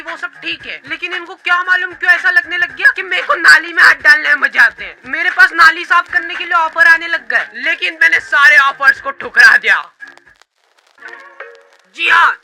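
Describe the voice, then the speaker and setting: A man's voice: very high voice, background noise. A man telling comic first-person stories in an exaggerated, mocking, colloquial way, acting out quotes as he goes. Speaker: storyteller and host of a comedy cartoon channel.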